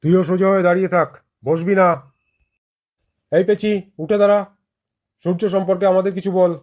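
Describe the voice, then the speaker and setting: Stern, exasperated male teacher for cartoon classroom comedy played by a man, high-pitched, bossy, sarcastic scolding. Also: steady tone, fairly quick, muffled recording, close to an everyday voice. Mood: threatening. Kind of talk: exaggerated cartoon comedy dialogue.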